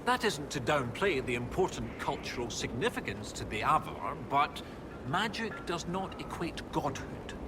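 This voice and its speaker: An actor voicing a bookish, excitable male historian in a video game, speaking in precise, scholarly phrases. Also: mid-range voice, noisy background, lightly acted. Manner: scholarly, animated, precise wording. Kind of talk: video game dialogue acting.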